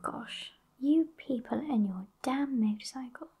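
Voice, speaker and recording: A woman in her twenties with a British accent playing a sassy, haughty witch in a breathy, unhurried ASMR whisper, close to the microphone. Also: high-pitched, warm tone, a little hiss or hum. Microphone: close microphone just off camera.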